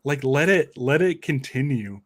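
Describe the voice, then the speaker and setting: An enthusiastic man chats casually as a podcast host, working out his ideas aloud in loose, rambling fragments. His voice sits at a middle pitch. The sound is dry and close, with very little room. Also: lively intonation, unhurried, no room sound. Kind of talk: casual fan discussion.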